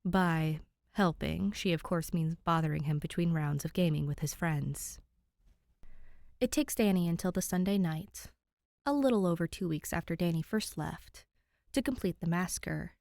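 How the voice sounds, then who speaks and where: A woman's voice: fairly low pitch, moderate pace, vocal fry, a little mellow, no room sound, clean studio sound. A woman hosting and narrating fan fiction, chatting to listeners and reading expressively. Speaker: podfic reader and channel host.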